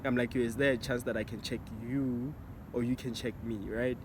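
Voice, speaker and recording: A laid-back young man from recounts how he met his girlfriend. He talks in a relaxed drawl and goes quieter when quoting himself. Clear outdoor noise runs behind him. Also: medium pitch, fairly quick. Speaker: boyfriend, guest. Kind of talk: casual storytelling chat. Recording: noisy outdoor setting.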